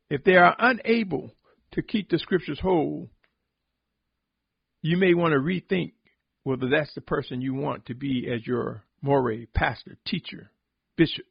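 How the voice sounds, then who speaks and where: A man giving a solemn, sermon-like podcast reflection, exhorting deliberately with emphatic repetition in a husky, gravelly voice. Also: a little high, no room sound, highs cut off. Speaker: religious podcast host and preacher.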